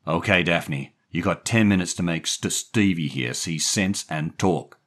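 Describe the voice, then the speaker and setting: A man voicing a gruff, short-tempered American gangster who barks slangy threats in a story reading. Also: deep voice, measured pace, fairly bright tone. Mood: menacing.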